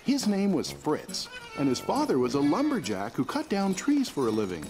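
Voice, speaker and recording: An actor playing a gentle, patient young father in a children's cartoon, soothing and a little teasing. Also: medium pitch, huge pitch swings, normal speed. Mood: warm storytelling. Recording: music under the voice.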